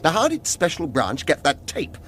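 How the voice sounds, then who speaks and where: Defiant ex-agent, British accent, wry, sarcastic, lively intonation, radio drama, faint background noise. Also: male voice, fairly high pitch, moderate pace. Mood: sardonic weariness. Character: sardonic, defiant ex-intelligence operative under suspicion.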